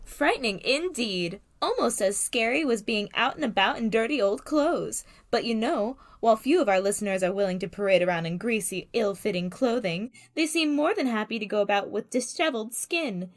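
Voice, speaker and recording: Woman as a higher-voiced vintage radio pitchwoman. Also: animated, normal speed, few pauses, lightly acted. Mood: reassuring, salesy. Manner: poised, reassuring sales patter. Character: polished old-time radio soap advertisement pitchwoman. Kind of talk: scripted parody of vintage radio commercial.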